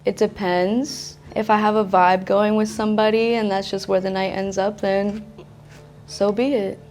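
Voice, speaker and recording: A young woman chatting casually as a dating contestant, blunt and expressive in the way she talks. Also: normal speed, soft background music. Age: young adult. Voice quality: deep voice for a woman. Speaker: blind date contestant. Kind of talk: casual chat.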